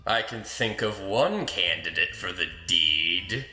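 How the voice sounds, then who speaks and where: A man's voice: low voice, animated, sharp tone, echoey room, soft background music, heavily acted. A man as a sly, malicious dream-haunting lord. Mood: sly, mocking. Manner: theatrical, sly, menacing, drawn-out phrasing. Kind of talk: dramatic audio drama acting.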